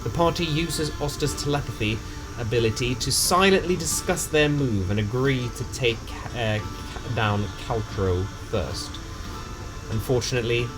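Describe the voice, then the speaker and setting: A man's voice: mid-range voice, low-quality recording. A man narrating a tabletop game story recap in a casual, dramatic storytelling way with some slang. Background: music.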